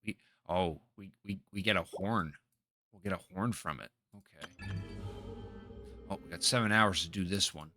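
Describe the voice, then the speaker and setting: A man streaming a video game with casual commentary, halting and repeating his phrases as he talks half to himself. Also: sits low, sharp tone. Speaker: game livestreamer. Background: game music.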